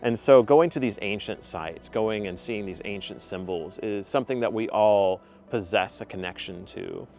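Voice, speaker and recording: A man's voice: fairly low pitch, normal speed, gravelly, hardly any pauses, darkish tone. A young man hosting his own channel, giving heartfelt spiritual advice in a casual, earnest way, with frequent ums and uhs. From United States. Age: young adult. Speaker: channel host.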